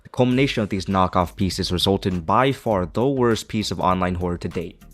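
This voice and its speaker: A man's voice: low-pitched, brisk, faint music behind. A man reading a scripted video essay as its narrator, with an opinionated, critical manner.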